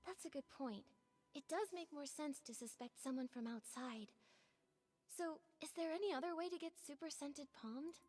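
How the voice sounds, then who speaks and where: High-voiced gentle young heroine, acted by a woman. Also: moderate pace, lightly acted. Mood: thoughtful. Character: gentle, curious young heroine investigating a mystery. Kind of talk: video game voice acting.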